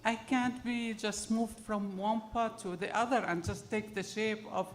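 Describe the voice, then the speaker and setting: A woman's voice: mid-range voice, steady tone, a little slow. A middle-aged woman with an Arabic accent telling personal stories to an audience as a panel speaker. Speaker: panel speaker telling her immigrant story.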